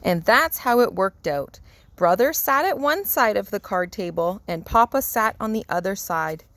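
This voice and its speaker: A woman's voice: mid-range voice, expressive, normal speed, a bit bright, no room sound. A woman reading a children's storybook aloud as narrator. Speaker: storybook reader.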